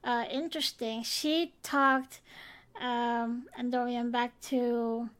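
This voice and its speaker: A woman co-hosting a casual podcast chat, talking in a thoughtful way with pauses. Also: a little high, level tone, fairly bright tone, clean tone. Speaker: podcast co-host.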